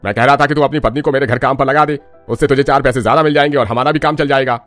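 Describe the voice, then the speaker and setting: A man voicing a brisk, bossy village landlord in a cartoon story, with an authoritative manner. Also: medium pitch, steady tone, fairly bright tone, soft background music, natural voice. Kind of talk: Hindi cartoon story voice acting.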